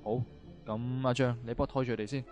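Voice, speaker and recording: A man's voice: medium pitch, flat delivery, fast, lightly acted. A man acting a commanding, dismissive prison officer in an amateur radio drama. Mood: surprised, brisk. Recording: radio drama with music underneath.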